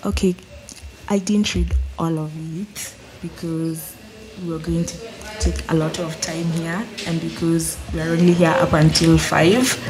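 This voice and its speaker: A woman's voice: sits low, flat delivery, a little slow, music in the background, poor audio. A woman presenting her writing informally to a group and inviting their thoughts. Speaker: writer presenting at a table read. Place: in-person group table read.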